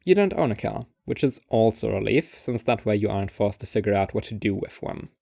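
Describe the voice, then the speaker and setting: Male narrator for literary short fiction, lower-pitched, closely recorded without room sound. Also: lively intonation, a little fast, creaky, darkish tone, no echo, clean studio sound. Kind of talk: scripted second-person story narration.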